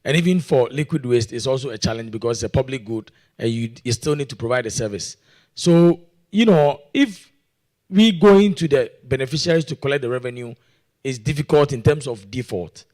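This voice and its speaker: A man's voice: mid-range voice, brisk, a little mellow. Earnest man from Ghana asking a question. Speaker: audience questioner. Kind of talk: conference question from the floor. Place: conference meeting room.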